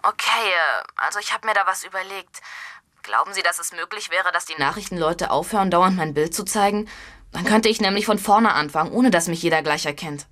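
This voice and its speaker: A woman's voice: on the low side, brisk, bright, forceful tone. Earnest, wounded runaway boy. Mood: subdued, hesitant. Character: frightened, defiant runaway boy, polite but wounded. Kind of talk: German radio drama acting.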